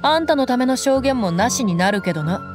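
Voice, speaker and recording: A woman voicing a tough, blunt female desert mercenary in a game dub, talking in a rough, commanding way over loud background music. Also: fairly high pitch, animated, brisk, close to an everyday voice. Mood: stern.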